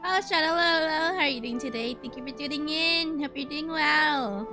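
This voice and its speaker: Chatty woman streamer with a higher voice. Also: brisk. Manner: chatty, playful, informal. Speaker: game streamer. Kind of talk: casual livestream gameplay chat. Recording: game music behind voice.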